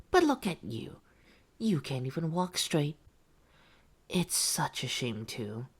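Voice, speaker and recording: A very low, husky female test subject in a horror roleplay, acted by a woman, speaks slowly and intimately. She is wounded and menacingly sweet, sliding between taunts and pleas with whispered phrases. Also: full character voice.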